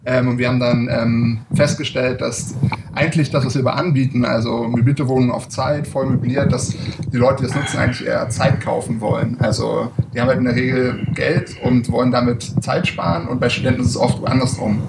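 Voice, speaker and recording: A man from Berlin, a startup founder, speaking as an interview guest in a relaxed, hesitant way with many fillers. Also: mid-range voice, a little fast, vocal fry. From Berlin, Germany. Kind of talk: casual interview talk. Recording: distant mic with loud background noise.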